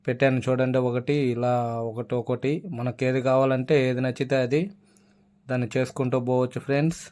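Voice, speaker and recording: A man's voice: medium pitch, level tone, a little fast, a little mellow. A man hosting a casual app tutorial, addressing listeners as friends. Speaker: YouTube app tutorial host.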